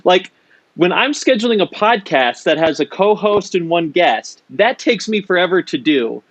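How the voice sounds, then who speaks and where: A man hosting a podcast interview, effusive and halting as he speaks. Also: high-pitched, animated, a bit bright, no room sound, lo-fi sound. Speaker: podcast host. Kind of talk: casual interview talk.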